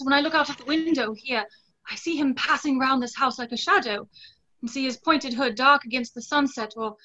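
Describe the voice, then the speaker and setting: A woman's voice: sits high, pushed and bright. An actress in her twenties playing a dreamy, fanciful young woman in a play reading over a video call. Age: 20s. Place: small indoor room, video call. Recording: dull video-call audio.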